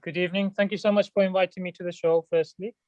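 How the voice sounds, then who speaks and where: Man, solicitor, polite, measured, flat intonation, dull dry line. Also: high voice, no room sound. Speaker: immigration solicitor. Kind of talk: guest expert on radio.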